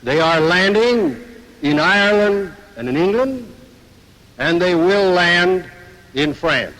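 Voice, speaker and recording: A man delivers a formal public address in a high voice that rings through a long hall reverb. He speaks in emphatic, declamatory phrases with deliberate weight on each. The sound is that of a 1940s newsreel recording. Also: expressive, fairly bright tone, slight background noise. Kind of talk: formal public speech, oratorical.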